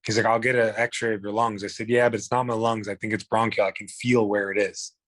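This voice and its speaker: Man, hoarse, lowish voice, dry close sound, blunt and rambling. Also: level tone, fairly quick, a bit bright, no echo. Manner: blunt, profane, rambling with restarts. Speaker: guest. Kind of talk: candid personal storytelling.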